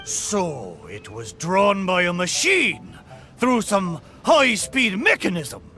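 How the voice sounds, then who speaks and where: Swaggering, high-pitched pirate ship spirit. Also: male voice, big pitch swings, slow pace, pushed and bright, faint music behind, heavily acted. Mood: amazed. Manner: hearty, bragging, swaggering pirate talk with "arr". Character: boastful, good-humored spirit of a mighty old pirate ship. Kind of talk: video game character acting.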